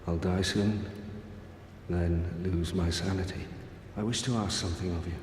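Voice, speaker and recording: An actor playing a dying old knight, speaking in a solemn, resigned way. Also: male voice, low-pitched, fairly even intonation, normal speed, a little mellow, a little hiss or hum, lightly acted. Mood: weary. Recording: roomy game audio with long reverb.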